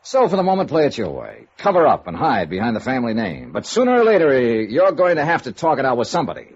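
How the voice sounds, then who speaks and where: A man's voice: mid-range voice, huge pitch swings, fast talker, breathy edge, no echo, heavily acted. A man with an American accent playing a tough, unflappable, sardonic insurance investigator in 1950s radio noir style. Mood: pressing, wry. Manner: sardonic, clipped, needling. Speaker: lead actor narrating and playing an investigator. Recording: dull, noisy old radio recording.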